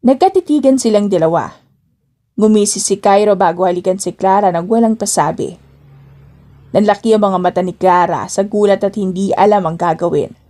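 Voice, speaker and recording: A woman's voice: mid-range voice, moderate pace, dark tone. A woman reading scripted narration for a romance story as an audiobook narrator.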